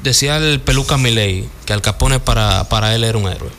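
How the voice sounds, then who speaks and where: A Dominican man hosting talk radio from a studio, speaking at an ordinary middle pitch. He sounds blunt and worked up, and he drops street slang freely. A faint hum sits under his voice. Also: fairly quick, a bit bright. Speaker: radio show host. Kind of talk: casual talk radio banter.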